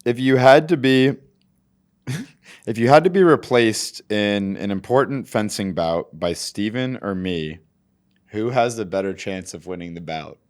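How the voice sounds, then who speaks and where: A man co-hosting a podcast, teasing and playful as he asks quick questions. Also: on the low side. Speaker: podcast co-host. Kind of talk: casual podcast chat.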